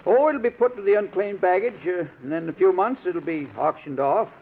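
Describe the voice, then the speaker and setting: A man's voice: high-pitched, fairly quick, gravelly. An actor playing a folksy, well-meaning working-class deliveryman in a radio drama, hesitant and colloquial. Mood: eager, helpful. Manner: hesitant, colloquial, simple and eager. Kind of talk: 1940s radio character acting. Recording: tinny, hissy old radio broadcast.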